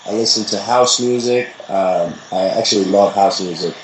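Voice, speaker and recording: Young man, casual interview talk, relaxed and rambling, roomy echo, faint ambience. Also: on the low side, moderate pace, slight background noise. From the Bronx, New York. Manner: relaxed, rambling, many fillers. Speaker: interview guest, rapper.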